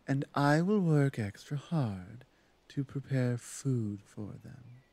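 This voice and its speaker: A man playing a bold, protective female orc huntress in an ASMR roleplay drama, talking in a firm, deliberate way. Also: mid-range voice, expressive, darkish tone, no echo. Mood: resigned, caring.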